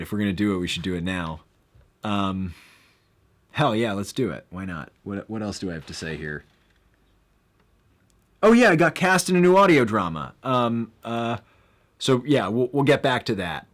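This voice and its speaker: A young man hosting a casual live stream, rambling with lots of fillers and bursts of excitement. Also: fairly low pitch.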